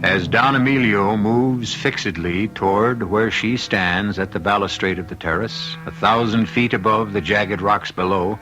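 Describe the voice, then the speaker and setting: A man narrating a scripted old-time radio drama as its host, in theatrical, formal, deliberate phrasing. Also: fairly low pitch, music in the background, rough recording.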